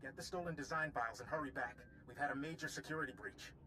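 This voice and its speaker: A man playing a brisk security agent commander. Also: fairly high pitch, background noise, natural voice. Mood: urgent. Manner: brisk, urgent briefing tone. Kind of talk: video game voice acting.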